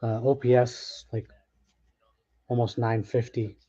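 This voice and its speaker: A man chats offhandedly while opening packs, thinking out loud with quick restarts. His voice is mellow and mid-pitched. The recording sounds dull and lo-fi, like a home setup. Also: level tone, breathy edge. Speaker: card break host. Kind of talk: casual live commentary while opening packs.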